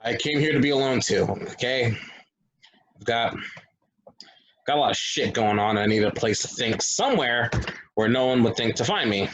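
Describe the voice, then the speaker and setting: A man's voice: mid-range voice, animated, normal speed, pauses often, a bit bright, poor audio. A man at a stage play table reading, playing a cynical, troubled local who talks bluntly and dismissively.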